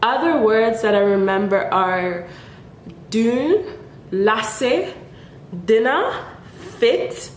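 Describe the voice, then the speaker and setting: Slow, easygoing young woman vlogger. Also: on the high side, lively intonation, a little hiss or hum. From Nigeria. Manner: chatty and playful, laughs between remarks. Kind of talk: casual vlog chat. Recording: echoey room.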